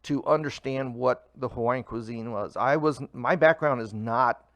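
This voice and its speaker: A man's voice: medium pitch, normal speed, fairly bright tone, no room sound. A middle-aged man, a food truck owner, earnestly explaining in a casual interview with frequent restarts.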